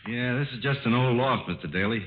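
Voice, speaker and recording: An actor playing an agreeable, puzzled plant safety engineer in a 1940s radio drama, folksy, plain-spoken and a little hesitant. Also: male voice, medium pitch, quick pace, noisy background, lightly acted. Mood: puzzled, curious. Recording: tinny narrow-band old radio recording.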